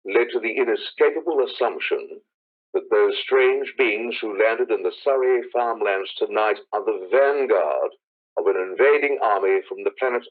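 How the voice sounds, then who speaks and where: A man playing a solemn radio news announcer delivering a measured, dramatic emergency bulletin in 1930s radio-play style. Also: mid-range voice. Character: grave radio news announcer reporting a catastrophic invasion. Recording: tinny narrow-band old radio sound.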